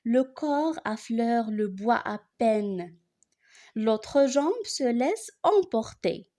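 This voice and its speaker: A woman reciting a poem for learners in an expressive way, phrasing it deliberately line by line. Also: on the high side.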